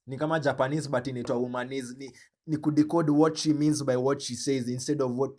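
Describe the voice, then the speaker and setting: A man with a Kenyan accent hosting a reaction video, commenting in an animated, joking way and switching between slang and English. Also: medium pitch. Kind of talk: commentary on a played clip.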